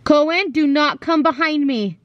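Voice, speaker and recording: A woman's voice: high-pitched, a little slow, no echo, muffled recording. A woman in her thirties, a mom filming a family vlog, short of breath and nervously talking herself through a cramped cardboard tunnel, with the camera held close to her face. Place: inside a small cardboard tunnel. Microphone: handheld camera close to face.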